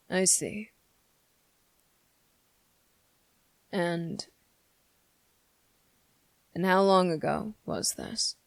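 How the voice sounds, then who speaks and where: A performer playing a sardonic, condescending demon in a dramatic ASMR roleplay, speaking slowly and deliberately with many pauses. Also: medium pitch, vocal fry, no room sound. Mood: grave, subdued. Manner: slow, deliberate, condescending, pausing often. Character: sardonic, menacing demon weighing a summoner's revenge plea.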